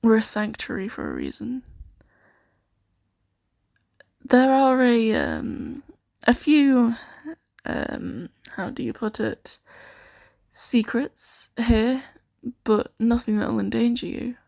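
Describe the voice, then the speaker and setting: A woman improvising an ASMR roleplay as a kindly, earnest host of a fantasy sanctuary, speaking softly and reassuringly with some hesitation. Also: a little high, big pitch swings, takes it slowly, gravelly, no room sound, heavily acted. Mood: welcoming, reassuring. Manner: soft-spoken, gently hesitant, reassuring.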